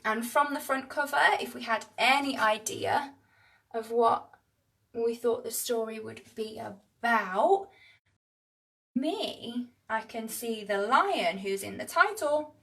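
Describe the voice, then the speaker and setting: A woman storyteller speaking to children in an animated, expressive way with dramatic pauses, recorded on a livestream. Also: on the high side, creaky, far from the mic. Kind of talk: expressive picture-book reading and chat to young viewers. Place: livestream on several screens.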